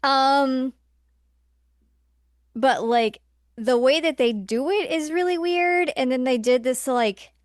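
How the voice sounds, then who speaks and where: Clear, higher-pitched woman in casual discussion. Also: slow pace, pauses often, dry recording, clean studio sound. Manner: exasperated, blunt, colloquial. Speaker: podcast co-host. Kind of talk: casual opinionated film discussion.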